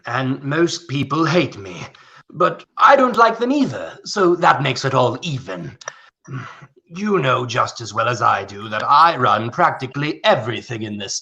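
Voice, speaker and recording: A man acting as a rich, condescending, scheming old tycoon in a radio play, with a gravelly, theatrical delivery. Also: medium pitch, pushed and bright, heavily acted.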